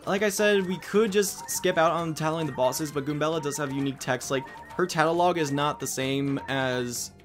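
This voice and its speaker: A man's voice: on the high side, moderate pace, hardly any pauses. A man casually commenting on a video game as he plays, rambling with jokey asides. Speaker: let's play host. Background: game music.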